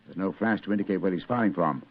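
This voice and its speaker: An actor playing a brilliant, commanding detective in a clipped, dramatic old radio drama style. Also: male voice, low-pitched, fast talker, narrow, tinny audio. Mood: urgent. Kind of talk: 1940s radio drama acting.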